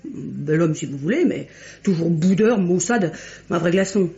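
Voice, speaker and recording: An actress playing a chatty, saucy, coquettish young French chambermaid in a vintage radio mystery. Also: female voice, on the low side, lively intonation, a little slow, dark tone. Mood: gossipy, coquettish. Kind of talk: mid-20th-century French radio mystery acting. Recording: muffled vintage radio recording.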